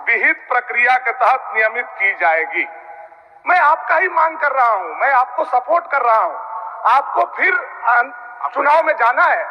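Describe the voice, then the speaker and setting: A man's voice: very high voice, bright, forceful tone. A man giving an impassioned, formal parliamentary speech as a legislator, insistent and rhetorical. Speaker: opposition legislator addressing a state assembly. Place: large legislative chamber. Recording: muffled, reverberant assembly hall broadcast.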